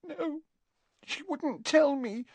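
A man's voice: very high voice, slow pace, dry recording, voice pushed up. A man voicing a distraught, fragile teenage schoolgirl who is sobbing, in a storybook reading. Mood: wailing, distraught.

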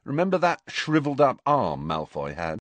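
Man voicing doubting teenage boy, offhand, casual, swooping higher pitch, audiobook sidekick. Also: wildly swooping pitch, moderate pace, fairly bright tone, dry recording. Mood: vague, offhand. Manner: casual, unconvinced. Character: sceptical, offhand teenage boy, the hero's friend.

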